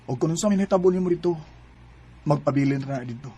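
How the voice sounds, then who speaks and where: A Filipino man with a Cebuano accent acting a pleading young lover in a radio drama, with a husky voice. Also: fairly high pitch, fast talker, dark tone. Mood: earnest, pleading.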